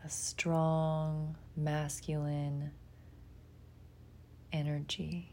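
A woman leading a guided imagery meditation in a soft, breathy, gentle voice, leaving long pauses between short, soothing phrases. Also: deep voice, monotone.

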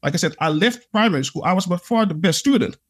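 A man's voice: high-pitched, expressive, fast, breathy edge. A man, a podcast guest, telling his own experiences in an earnest, reflective way, with many "like" fillers. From Netherlands. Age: adult. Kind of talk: conversational interview storytelling.

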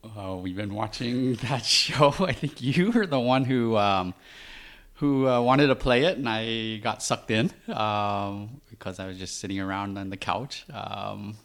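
Low-voiced middle-aged man, relaxed. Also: unhurried. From Vietnam, raised in Oregon, US. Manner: relaxed, many ums, chuckles. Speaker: guest, host's father. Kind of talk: casual interview.

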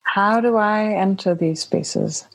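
A woman from India speaks as a podcast guest at a slow, unhurried pace. Her talk is loose and reflective, dotted with "like" and easy laughter, and her pitch sits in a middle range. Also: rough recording. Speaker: podcast guest, healing facilitator. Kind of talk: casual podcast conversation.

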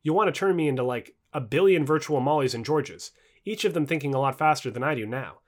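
A man's voice: a little high, fast talker, close to an everyday voice. A man voicing a skeptical, sarcastic present-day woman in an audio book dialogue. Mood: wry. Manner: wry, sarcastic, questioning.

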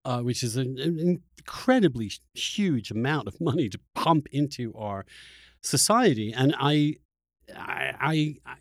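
Halting, reflective male podcast host, dry sound. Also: medium pitch, lively intonation, no echo. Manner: halting, searching, repeats words as he thinks. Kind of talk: reflective conversational interview.

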